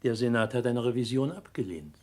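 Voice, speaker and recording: An actor playing a polite, formal high official in a 1960s German radio drama, regretful yet evasive. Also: male voice, animated, a little mellow, natural voice. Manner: formal, courteous, measured.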